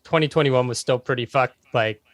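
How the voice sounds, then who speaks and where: A man's voice: mid-range voice, brisk, no echo. Laid-back male podcast host. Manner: laid-back and chummy, frequent affirmations and laughs. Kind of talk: casual interview chat.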